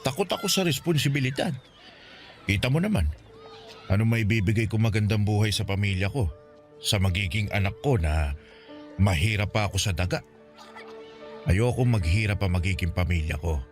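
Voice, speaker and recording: A man's voice: on the low side, natural voice. A man with a Filipino accent playing a poor, stern but caring older guardian in a radio drama, scolding and pleading earnestly. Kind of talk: Tagalog radio drama acting.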